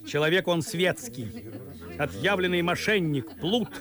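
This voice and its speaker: Blunt grumbling gentleman, slow-spoken. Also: on the high side, expressive. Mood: mocking, blunt. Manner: gruffly frank, mocking. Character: blunt, grumbling, good-natured society husband. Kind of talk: theatrical radio play acting.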